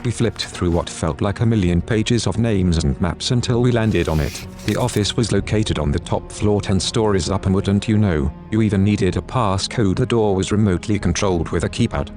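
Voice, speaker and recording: A man's voice: on the low side, normal speed, hardly any pauses, natural voice. A man playing a grim, determined armed adventurer who narrates his own story in a solemn, dramatic audio drama style. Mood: tense, measured. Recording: loud music over the voice.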